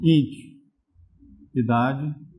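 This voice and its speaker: A man's voice: mid-range voice, extremely slow, a little mellow, faint music behind. A man teaching programming in a recorded distance-learning lesson, explaining step by step and often checking in with viewers. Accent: Brazilian Portuguese.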